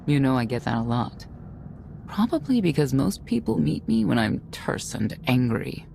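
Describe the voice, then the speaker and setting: A woman acting a seasoned, steadfast knight in a video game, candid, measured and self-possessed. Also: very deep voice, big pitch swings, gravelly, dark tone, slight background noise, exaggerated voice. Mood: relaxed, wry.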